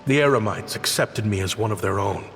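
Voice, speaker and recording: A wistful former scholar in a video game recalls his life in a measured way. His voice sits fairly low and carries a long reverberant tail, with music underneath. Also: gravelly, a bit bright. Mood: wistful, nostalgic. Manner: reflective, measured storytelling. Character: former scholar turned desert inventor, wistful and regretful. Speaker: story narrator and character. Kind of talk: scripted video game narration and dialogue.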